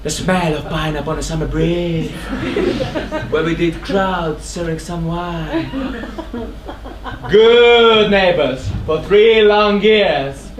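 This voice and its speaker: A man's voice: on the high side, takes it slowly, a crowd in the background. A man playing an insistent messenger in a stage tragedy, coaxing an old friend in a theatrical manner. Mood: jovial, coaxing. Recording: echoey theatre, distant mic, audience noise.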